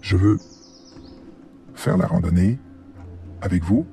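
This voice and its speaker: A man playing an ailing, earnest grandfather in his seventies in a cartoon, speaking reflectively in a frail, gravelly voice. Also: sits low, slow, mellow, full character voice. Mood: pleading, regretful. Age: 70s. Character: weary, ailing old grandfather, regretful and earnest. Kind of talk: animated drama acting in French.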